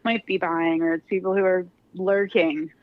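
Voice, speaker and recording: A woman's voice: on the low side, level tone, normal speed, dry recording, phone-quality sound. A woman with a Philadelphia accent, a podcast guest chatting casually and laughing often. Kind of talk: casual chat.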